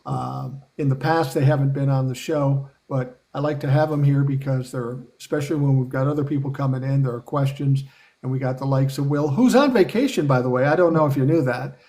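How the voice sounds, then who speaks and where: A man's voice: medium pitch, a little fast, mellow. A man hosting a live podcast, talking casually and bluntly, with some profanity. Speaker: podcast host. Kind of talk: casual live-stream chat.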